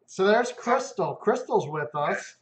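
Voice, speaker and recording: A man's voice: sits high, animated, slow pace. Joking man hosting a live stream. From Ohio. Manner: joking, reads viewer comments aloud, drinking beer. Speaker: livestream host. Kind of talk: casual live stream chat. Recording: echoey room, dull lo-fi sound.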